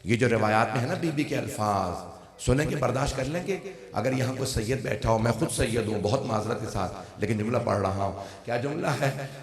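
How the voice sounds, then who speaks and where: A man delivering a mournful sermon in an emotional, lamenting way, his throat strained. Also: mid-range voice. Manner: emotional, lamenting, slow and solemn. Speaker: religious orator. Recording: reverberant hall.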